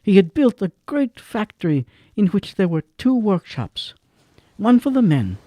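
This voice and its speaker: A man narrating a radio drama in measured, expressive tones with dramatic pauses. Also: high voice, no room sound. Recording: dry with faint music behind.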